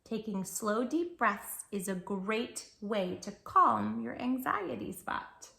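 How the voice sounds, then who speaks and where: Bright, high-voiced woman reading to kids. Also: slow pace, vocal fry. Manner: expressive, encouraging, speaks directly to the child listener. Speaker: children's storytime host reading picture books aloud. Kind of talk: animated read-aloud to young children.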